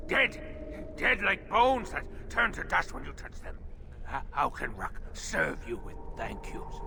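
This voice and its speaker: A half-mad scavenger hermit from a video game, high-pitched and swinging wildly in pitch. The voice is creaky, stammering and cringing, muttering in broken, repetitive phrases. Music plays behind him. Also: male voice, heavily acted. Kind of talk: video game character acting.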